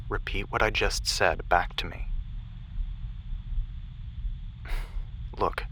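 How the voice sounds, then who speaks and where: Casting: man to play determined, defiant mission-control major for sci-fi audio drama; sharp, forceful delivery with weary, hushed drops, over faint hum. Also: low-pitched, rough recording. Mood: urgent, focused. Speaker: voice actor in audio roleplay.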